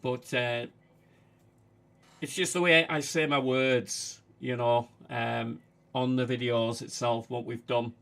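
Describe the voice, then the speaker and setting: A man with a Manchester accent chats casually on a livestream, his voice gravelly and fairly flat. He stops and starts, filling gaps with "uh" as he talks. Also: mid-range voice. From England. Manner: halting, frequent "uh" fillers. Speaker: livestream host.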